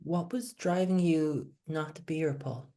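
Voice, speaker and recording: A man's voice: a little high, unhurried, mellow. A man hosting an interview podcast, introducing his guest with upbeat enthusiasm and asking personal questions. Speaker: podcast host.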